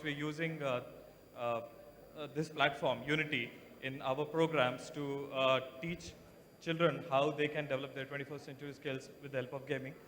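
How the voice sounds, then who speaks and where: A man's voice: on the high side, fairly bright tone. Hesitant man asking a question in a hall. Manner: hesitant, many fillers, polite. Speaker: audience member, education program organizer. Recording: reverberant hall, faint noise.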